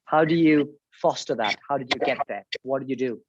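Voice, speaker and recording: A man's voice: mid-range voice, a little fast, background noise. Male interviewer, enthusiastic and praising, um-filled questions; slightly dull sound. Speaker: podcast host. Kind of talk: interview host chat.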